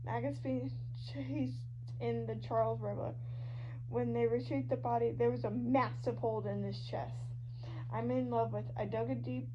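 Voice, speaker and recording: A woman giving an animated, dramatic, slangy reading of online fan fiction, shifting between characters. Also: a little high, normal speed. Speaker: podfic reader. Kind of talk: expressive fan fiction reading of social media posts. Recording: dull lo-fi audio with loud hum. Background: loud hum and noise.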